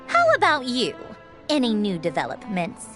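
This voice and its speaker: Proud female official, video game: high, haughty, highly expressive, music clearly behind. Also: normal speed. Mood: concerned.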